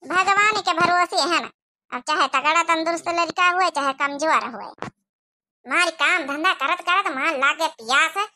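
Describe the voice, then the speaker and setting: A woman's voice: very high voice, normal speed, pushed and bright, exaggerated voice. A woman voicing an emotional young village daughter-in-law in a cartoon comedy, speaking with a rustic Awadhi accent. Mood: plaintive. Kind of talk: rural cartoon comedy dialogue.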